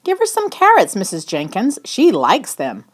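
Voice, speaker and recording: A woman voicing an eager young boy, a proud storybook tour guide who announces everything loudly and excitedly. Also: mid-range voice, normal speed. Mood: cheerful, reassuring. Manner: loud excited announcing and commanding.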